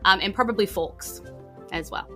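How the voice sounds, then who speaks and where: A woman with a New Zealand accent improvising narration as a tabletop game master in a conversational, halting way. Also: medium pitch, pushed and bright. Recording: faint music behind the voice. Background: faint background music.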